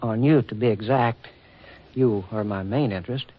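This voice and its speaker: An actor playing an earnest, stiff government official in a 1940s radio drama, speaking in a formal, courtly, slightly awkward way. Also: male voice, on the low side, normal speed, natural voice. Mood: shyly tender. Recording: muffled vintage radio recording, faint music.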